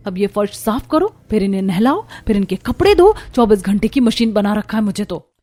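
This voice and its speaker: A woman's voice: mid-range voice, very animated, fairly quick, mellow, faint music behind. A woman voicing an overworked young daughter-in-law in a cartoon story, exasperated and complaining. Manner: complaining, put-upon. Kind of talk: Hindi cartoon story voice acting.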